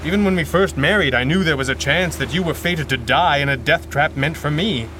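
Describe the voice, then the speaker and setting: An actor playing a seasoned masked hero and devoted husband, measured, articulate and dryly understated, in a 1930s pulp radio drama style. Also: male voice, a little high, music in the background, close to an everyday voice. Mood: reflective, earnest. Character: seasoned masked male adventurer, calm, dry-witted, devoted husband.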